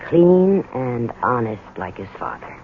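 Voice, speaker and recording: A woman's voice: very deep voice, sing-song, a little slow, full character voice. An actress playing a loving, anxious wife and mother who soothes everyone, in 1970s radio drama style. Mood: tender. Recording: tinny, noisy old radio broadcast.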